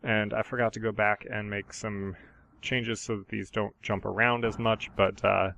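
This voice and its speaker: A man who is sick with a sore throat, giving a relaxed, rambling gameplay voiceover with many ums and uhs. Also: lowish voice, normal speed, gravelly. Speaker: gaming channel host. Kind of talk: casual gameplay voiceover commentary. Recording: steady background noise.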